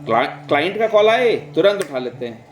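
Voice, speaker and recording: A man's voice: on the high side, big pitch swings, normal speed. A man narrating in a conversational, reflective way, speaking directly to listeners and mixing in English words. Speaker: vlog host and storyteller. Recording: roomy, echoing sound.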